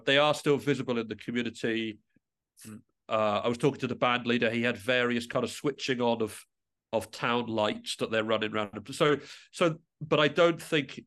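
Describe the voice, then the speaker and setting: A man's voice: medium pitch, fairly bright tone. Guy being interviewed about his music work, thoughtful, kinda tentative, stops and rewords stuff as he goes. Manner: reflective, tentative, often pausing mid-thought to rephrase. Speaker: interview guest, musician and composer.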